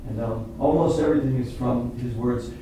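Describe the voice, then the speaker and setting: Echoey, distant man explaining haltingly. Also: medium pitch. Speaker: playwright and performer at a post-show talkback. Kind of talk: informal discussion with an audience. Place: indoor theatre stage, seated on the floor. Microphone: far camera microphone. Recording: distant echoey hall recording with noise.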